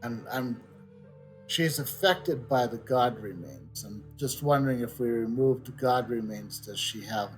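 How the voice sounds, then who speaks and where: A male streamer comments casually on the game he is playing. His delivery is very slow, pensive and halting as he reasons aloud. Faint game music sits behind him. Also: medium pitch, lively intonation. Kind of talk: casual live playthrough commentary.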